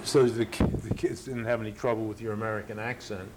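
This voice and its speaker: A man's voice: on the low side, flat delivery, quick pace, very noisy. A man interviewing someone for an oral history, asking follow-up questions and giving short acknowledgements. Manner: attentive, frequent short acknowledgements.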